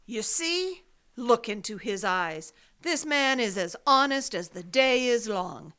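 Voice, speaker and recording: An actress playing a stern, impatient head librarian in an old-time detective radio play, curt and scornful. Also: female voice, fairly high pitch, animated, takes it slowly, sharp tone, full character voice. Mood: scornful, angry. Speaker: actor.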